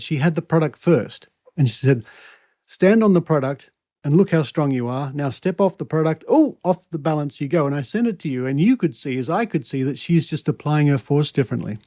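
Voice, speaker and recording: Aussie guy podcast host, mellow soft-edged tone, really dry sound, casual chat. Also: fairly high pitch, dry recording. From Australia.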